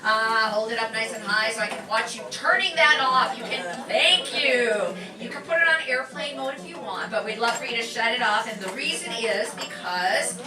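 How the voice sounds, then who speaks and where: A woman's voice: fairly high pitch, moderate pace, hardly any pauses, a crowd in the background. A woman teacher giving a chatty, upbeat welcome and announcements to a live audience, full of fillers. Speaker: class instructor welcoming an audience. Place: community center performance room. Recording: echoey room from far off.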